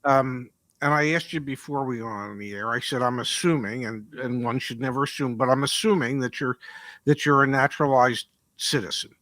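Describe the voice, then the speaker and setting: An older American man hosting a conversational podcast interview in a deliberate, storytelling manner. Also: medium pitch, fairly even intonation, a bit bright, no room sound. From United States. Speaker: podcast host.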